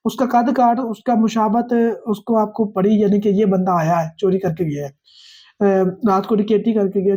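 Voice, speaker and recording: A woman's voice: mid-range voice, dark tone, breathy edge. A woman with a Pakistani accent lecturing on law, explaining step by step in a didactic way with repeated phrases and frequent check-ins. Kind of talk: explanatory lecture, reading statute aloud and paraphrasing.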